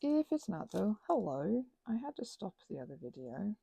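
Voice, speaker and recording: A woman's voice: mid-range voice, sing-song, normal speed, gravelly, mellow, breathy edge, rough recording. A woman chatting informally to her listeners in a rambling, meandering way, drifting into asides and offering casual encouragement. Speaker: ASMR and audio channel creator.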